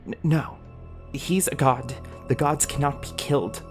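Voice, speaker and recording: A man's voice: a little high, measured pace, soft background music, natural voice. A man voicing a resolute, defiant woman vizier in a fantasy audio drama, earnest and urgent. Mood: disbelieving.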